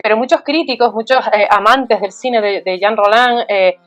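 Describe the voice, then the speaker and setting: A woman's voice: medium pitch, normal speed, no room sound, faint music behind. A woman guest talking about film, thoughtful and full of fillers and tag questions. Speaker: guest, art historian. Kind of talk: conversational film talk.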